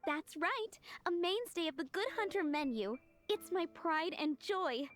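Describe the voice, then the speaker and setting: High-pitched, enthusiastic restaurant worker. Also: female voice, lively intonation, unhurried, fairly bright tone, soft background music, exaggerated voice. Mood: delighted, encouraging. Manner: encouraging, effusive. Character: friendly, enthusiastic young woman running a restaurant counter. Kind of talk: anime video game voice acting.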